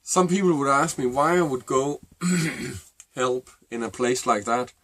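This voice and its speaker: A middle-aged man with a Danish accent, a native Danish speaker, telling a personal story to camera in a halting, reflective way. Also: medium pitch, fairly bright tone, no echo. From Denmark. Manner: halting and reflective, with many fillers and restarts. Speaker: vlogger.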